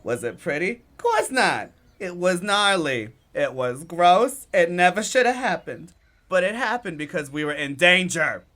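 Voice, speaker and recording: A woman's voice: lowish voice, big pitch swings, measured pace, fairly bright tone. A woman playing a brash, outspoken and loyal circus performer in a radio drama, blunt and slangy. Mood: defensive.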